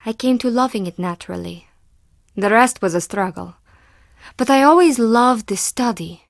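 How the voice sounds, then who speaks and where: A woman's voice: fairly high pitch, expressive, moderate pace, creaky, dry recording, studio quality. An actress voicing a self-important woman artist in a video game, with a pompous, theatrical manner. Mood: grandiose. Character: self-important woman artist boasting of her own work. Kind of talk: video game character acting.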